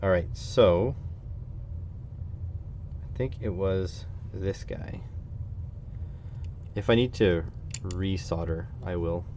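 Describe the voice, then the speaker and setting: Low-voiced Canadian man thinking aloud. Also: takes it slowly, long pauses, warm tone. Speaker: hobbyist electronics streamer. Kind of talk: casual live stream chat while working. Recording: dull, slightly lo-fi with faint noise.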